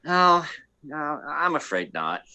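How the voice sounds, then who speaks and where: A man plays a cocky maverick starship pilot for radio comedy. The voice is bright and forceful, leaping up and down in pitch. He rambles and doubles back on his words. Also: very animated, clean tone, heavily acted, low-quality recording. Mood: reluctant, defiant. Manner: rambling, halting, repeats words. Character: cocky, rambling, free-spirited male maverick space pilot. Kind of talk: comic radio play acting.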